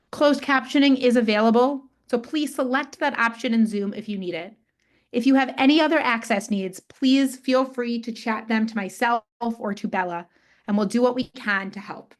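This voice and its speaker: Woman hosting a video-call webinar. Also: a little high. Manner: measured, reads from prepared text. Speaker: webinar host. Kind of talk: scripted webinar introduction.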